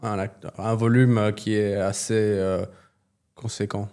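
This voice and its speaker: A man speaking French as a second language, with a warm, mellow voice. He answers interview questions as a company representative, halting now and then while he searches for the right word. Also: on the low side, flat delivery. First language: not French. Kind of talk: business interview.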